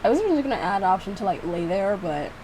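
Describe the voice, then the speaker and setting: A woman's voice: mid-range voice, sing-song, racing, noisy background. A woman giving casual reaction commentary on her own work, reading bits aloud in a giggly, self-mocking way. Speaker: video creator reacting to own visual novel. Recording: music behind the voice. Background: music.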